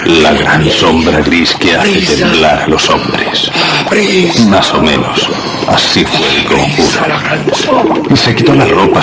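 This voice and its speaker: Deep-voiced, horrified shepherd witness. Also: male voice. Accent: Castilian Spanish. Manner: dramatic, suspenseful storytelling. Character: shepherd fearfully recounting a werewolf transformation, horrified. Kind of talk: Spanish radio horror drama. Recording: heavy noise behind radio drama.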